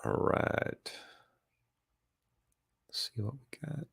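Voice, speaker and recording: A man's voice: drawn-out words, a bit bright, no room sound, clean studio sound. A man hosting a casual live question-and-answer stream, stuffy and nasal from allergies, hesitant with many fillers and restarts as he reads out viewer questions. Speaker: real estate livestream host.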